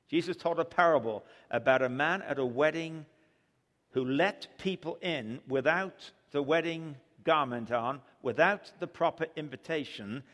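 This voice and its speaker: A man's voice: on the high side, a bit bright. An older man from England, preaching a sermon with humorous storytelling. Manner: humorous storytelling, chuckles at own jokes. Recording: long hall reverb.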